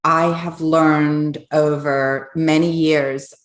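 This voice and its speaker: Woman co-host, deep voice, eager rambling questions, echoey room. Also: level tone, drawn-out words. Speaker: podcast co-host. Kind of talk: podcast interview.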